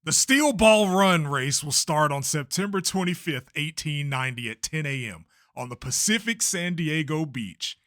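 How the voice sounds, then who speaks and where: A man's voice: on the high side, expressive, normal speed, few pauses, a bit bright, no echo, clean studio sound. A man voicing a blustering older race promoter in a comic dub, theatrical, bossy and emphatic. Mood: grand, showy. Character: blustering older male race promoter, secretly fearful showman. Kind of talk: comic-book dub voice acting.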